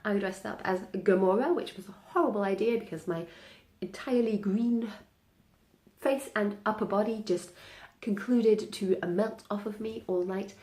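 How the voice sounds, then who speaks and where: A young woman whose first language is German, talking through her month's reading in a loose, chatty way. She hesitates often with ums and small restarts. Her voice sits at a middle pitch and carries a noticeable room echo. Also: normal speed, darkish tone. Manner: chatty, many ums and restarts, light laughs. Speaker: book vlogger. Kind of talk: casual monthly reading recap.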